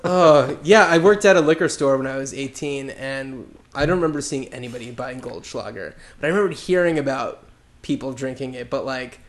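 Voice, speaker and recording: A man co-hosting a casual podcast from home, chatty and quick to laugh, rambling a little as he talks. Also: mid-range voice. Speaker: podcast co-host. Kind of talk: casual chat.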